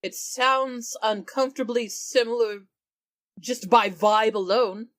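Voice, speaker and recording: A woman's voice: a little high, slow pace, a bit bright, no echo, clean studio sound. A woman chatting casually as a player in a tabletop role-playing game.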